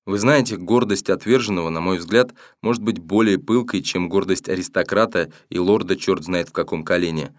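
A man narrating a first-person novel as an audiobook, in a steady, matter-of-fact, unhurried way. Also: sits low, no echo, studio quality.

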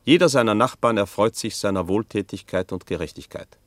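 A male actor is a solemn chronicler in a radio play. He narrates formally and with measure, in a lowish voice. The sound is dry and close. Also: expressive, a little fast, dry recording, lightly acted.

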